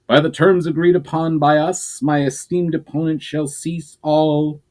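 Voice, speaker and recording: A proud, scornful Aizen warrior, as a male reader puts him on, his voice deep and melodious. He talks down to others in a stern, formal, scolding way, like a fantasy storybook elder. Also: flat delivery, measured pace, a little mellow, close to an everyday voice. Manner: haughty, scolding. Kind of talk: dramatic reading of a novel.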